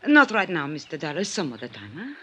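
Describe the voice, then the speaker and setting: An actress playing a weary, protective, deferential housekeeper who talks in hesitant, halting phrases in a 1950s radio drama. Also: female voice, low voice, wildly swooping pitch, a bit bright, husky, heavily acted. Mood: wary. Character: flustered, deferential Italian-surnamed housekeeper woman, weary and protective. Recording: noisy old 1950s radio recording.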